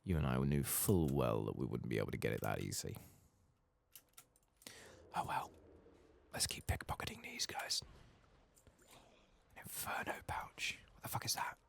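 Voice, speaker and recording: A man giving soft-spoken, sometimes whispered ASMR game commentary, muttering casually and swearing to himself. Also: rumbling low, expressive, normal speed, creaky, long pauses. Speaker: ASMR gaming streamer.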